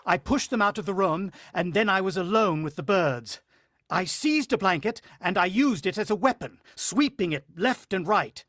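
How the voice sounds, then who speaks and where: An actor playing a brooding, uneasy husband and father in a vintage-style radio drama, musing with dramatic pauses. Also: high voice, lively intonation, fairly bright tone, no room sound. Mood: tense narration.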